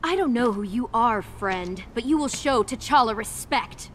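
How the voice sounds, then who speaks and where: A woman playing a proud, loyal monster hunter in a video game, firm, guarded and deliberate. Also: a little high, expressive, a little hiss or hum. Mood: stern. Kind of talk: video game cutscene acting.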